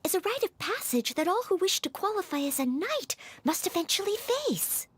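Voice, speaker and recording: A woman's voice: extremely high pitch, very animated, normal speed, no room sound. A woman voicing an earnest young female character in a fantasy video game.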